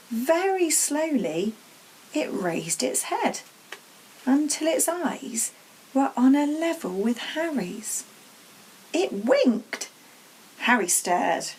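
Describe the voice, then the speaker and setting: A woman's voice: a little high, darkish tone, slight background noise, low-quality recording. A woman narrating a children's storybook aloud as a teacher would to pupils.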